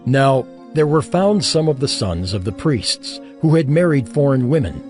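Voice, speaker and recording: A man giving scripted narration for a dramatized Bible, measured and solemn. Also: mid-range voice, very animated, a little mellow, soft background music.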